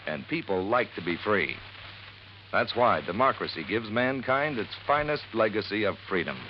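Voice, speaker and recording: A man's voice: lowish voice, expressive, moderate pace, a little hiss or hum. A man reading an earnest public service announcement in a 1950s radio broadcast, declamatory in tone. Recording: muffled old radio broadcast.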